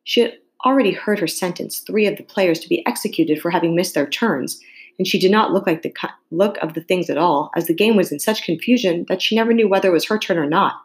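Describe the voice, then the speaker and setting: A woman reading a classic children's story aloud as an expressive narrator. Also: on the low side, fast. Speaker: storybook reader.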